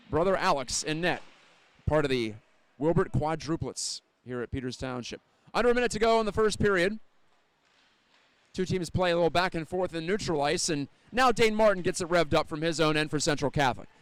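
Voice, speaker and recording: A man's voice: a little high, clean tone, no echo. Clean-voiced American hockey play-by-play man. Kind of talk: live sports commentary.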